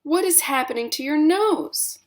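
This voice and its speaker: A woman voicing a panicked middle-aged mother who screams in a high, shrill voice. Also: lively intonation, unhurried.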